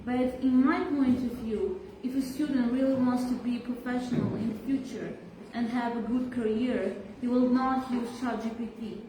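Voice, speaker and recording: A teenage girl student giving her view in a classroom discussion, speaking haltingly with many fillers. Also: a little high, fairly even intonation, dark tone. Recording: echoey room from far off, clear background noise.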